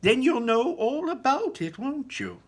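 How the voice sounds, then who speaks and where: A man's voice: very high voice, very animated, measured pace, pitched above the performer's own voice, heavily acted. A man voicing a proud, eccentric chocolate factory owner in a theatrical, emphatic storytelling manner for a children's book. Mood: proud, indignant. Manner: exclaiming, dramatic, emphatic storytelling.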